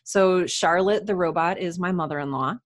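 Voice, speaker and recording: A woman talking casually as a writer and podcast guest, animated and chatty as she tells her own stories. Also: fairly low pitch, moderate pace. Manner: animated, chatty, self-quoting storytelling. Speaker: podcast guest, writer and audio drama creator. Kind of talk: casual interview chat. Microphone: USB desktop microphone.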